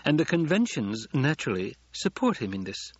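Man voicing a poised, courtly noblewoman, lifted pitch. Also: sing-song, breathy edge, no echo, lo-fi sound. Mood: sweetly formal. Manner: measured, courtly, formal. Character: poised noblewoman, sweetly courteous, shrewd and guarded. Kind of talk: audiobook character acting.

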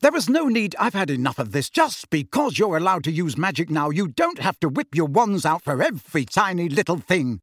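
A man's voice: on the high side, big pitch swings, fairly quick, few pauses, no echo, clean studio sound, voice pushed up. A man voicing a furious, scolding mother in a strained, shrieking voice for an audiobook. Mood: exasperated, furious. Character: shrill, furious, scolding mother of rowdy boys.

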